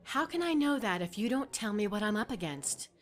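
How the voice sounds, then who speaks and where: A woman's voice: mid-range voice, very animated, a little fast, close to an everyday voice. An actress playing a concerned middle-aged mother, firm and coaxing, in a naturalistic web drama.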